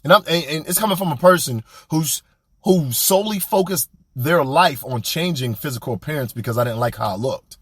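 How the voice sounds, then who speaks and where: A young man speaks as a podcast host, blunt and colloquial as he pushes listeners to grow. His voice sits a little high and swings widely with emphasis. It has a husky edge and sounds dry and close, with little room around it. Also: brisk, no echo. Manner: animated, preachy, blunt and colloquial. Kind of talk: motivational monologue.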